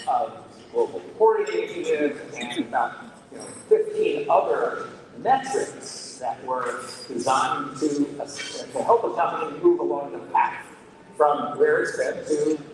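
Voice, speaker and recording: A man moderating a panel discussion, asking long questions and often halting with fillers. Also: a little high. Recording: distant mic in an echoey hall with crowd.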